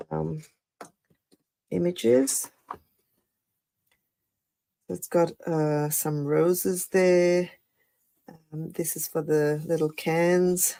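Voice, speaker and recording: A woman with a croaky voice after the flu, chatting through a live card-making tutorial with many fillers and apologies. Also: deep voice, darkish tone. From Australia. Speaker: craft demonstrator.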